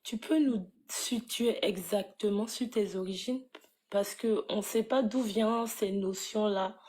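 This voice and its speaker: Slow, teasing female interviewer. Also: mid-range voice, fairly even intonation, darkish tone. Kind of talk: interview questions.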